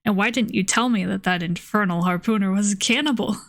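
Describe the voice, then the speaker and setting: A woman voicing a frightened young man from a novel, excitable and indignant, crying out in alarm. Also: mid-range voice, a little fast, close to an everyday voice.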